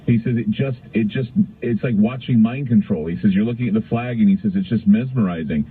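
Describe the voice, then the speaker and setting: Tinny, low-voiced American radio host. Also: male voice, brisk, slightly rough. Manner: rambling, conversational, repeats phrases. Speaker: radio talk show host. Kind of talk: call-in radio storytelling. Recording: tinny, narrow-band radio sound.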